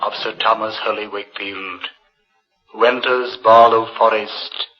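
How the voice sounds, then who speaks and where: An actor voicing an ominous, solemn pronouncer of an ancient curse in a 1940s radio drama. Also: male voice, lowish voice, fairly even intonation, measured pace, close to an everyday voice. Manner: solemn, portentous. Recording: muffled old radio broadcast, music behind.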